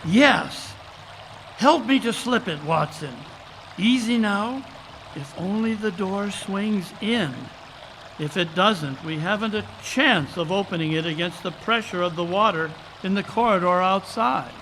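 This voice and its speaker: A man playing a commanding, resolute detective who gives urgent, deliberate orders in a live recreated radio drama. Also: high voice, a bit bright. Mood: tense, resolute. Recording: noisy live stage recording, water sounds.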